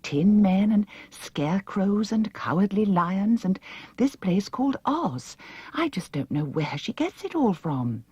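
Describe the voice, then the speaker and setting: A woman's voice: medium pitch, sing-song, measured pace, a little mellow, breathy edge, dry recording, lo-fi sound, voice pushed up, heavily acted. A woman voicing a worried, firm middle-aged farm aunt in a storybook, fretful and no-nonsense.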